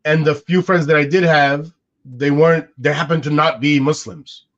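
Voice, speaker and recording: A man's voice: on the high side, fairly even intonation, normal speed. A man in his forties whose first language is Arabic, musing aloud in a casual podcast conversation with frequent restarts. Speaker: co-host. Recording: dull lo-fi sound.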